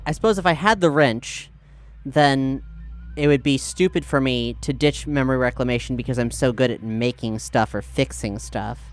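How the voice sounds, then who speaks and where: A man chatting casually as a tabletop game player, thinking aloud in a halting way. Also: mid-range voice. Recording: clear background noise.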